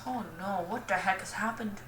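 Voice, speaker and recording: Young woman rambling over gameplay, echoey distant mic. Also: medium pitch. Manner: self-deprecating, goofy, hesitant and halting. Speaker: gaming video host. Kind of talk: casual, rambling gameplay commentary. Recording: roomy, distant mic with clear noise.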